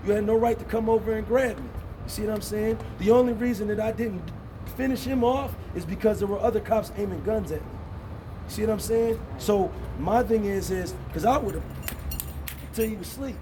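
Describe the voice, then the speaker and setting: Man, 30s, protest organizer; fairly high voice, blunt street talk, recorded from a distance over traffic noise. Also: brisk. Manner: blunt, repeats "you see what I'm saying". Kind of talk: impassioned street speech. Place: outdoors on a city street.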